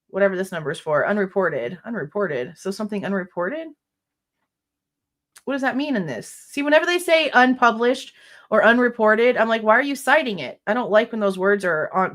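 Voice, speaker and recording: Stuffed-up young female host, mid-pitched, reads legal filings with exasperated commentary. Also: fairly bright tone. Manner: exasperated, blunt asides with mild swearing. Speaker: true crime livestream host. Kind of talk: reading a legal brief aloud with commentary.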